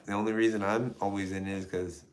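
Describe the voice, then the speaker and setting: A man's voice: fairly low pitch, flat delivery, fast, a little hiss or hum. A man in his forties hosting a live stream, chatting casually in a loose, joking, rambling way. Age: 40s. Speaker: stream host.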